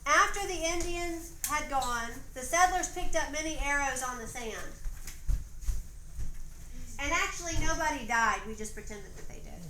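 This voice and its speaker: A woman's voice: high voice, expressive, normal speed, pushed and bright. A woman with a Southern US accent reads a story aloud as narrator, breaking off to give stage directions to young performers. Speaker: narrator directing a children's dramatization. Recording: echoey room, noisy amateur recording.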